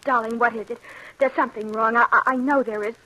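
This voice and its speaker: An actress playing an affectionate, concerned young fiancee in an old radio drama, earnest and theatrical. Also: female voice, a little high, steady tone, fast, bright, forceful tone, low-quality recording. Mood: concerned, tender. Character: affectionate, worried young fiancee, loyal and trusting. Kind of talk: 1940s radio drama acting. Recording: hissy old radio broadcast.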